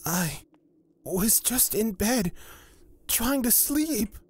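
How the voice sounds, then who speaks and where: A man voicing a nervous, bewildered, deferential young cleric in a fantasy audio drama, polite and hurried. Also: sits high, lively intonation, breathy edge, voice pushed up, heavily acted. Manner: hurried, slightly stammering, polite.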